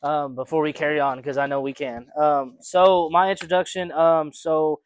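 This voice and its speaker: A man's voice: fairly high pitch, little pitch movement, moderate pace, smooth, no room sound. A young man co-hosting a casual podcast chat, rambling with lots of fillers and restarts. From United States. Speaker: podcast co-host.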